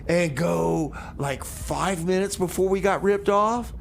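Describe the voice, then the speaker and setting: A man's voice: high-pitched, slow, gravelly, a little hiss or hum. A male radio host with a folksy, rambling manner, bantering between songs and comedy bits. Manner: folksy, rambling, repeats phrases.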